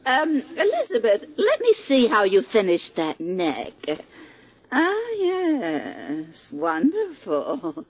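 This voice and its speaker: A woman's voice: a little high, wildly swooping pitch, slow, exaggerated voice. An actress playing a haughty older mother-in-law, sugary and needling, in a 1940s radio comedy. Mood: cooing. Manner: cooing, sugary condescension. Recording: dull, muffled 1940s radio recording.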